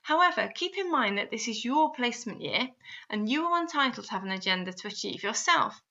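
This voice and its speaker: A woman with a fairly high, very bright voice narrates a step-by-step guide. She addresses the listener directly as an instructor would, often with guiding questions. The recording is a little dull and lo-fi. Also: animated, a little fast. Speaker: instructor narrating a guidance video. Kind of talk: scripted instructional voice-over. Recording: slightly dull, lo-fi voice-over.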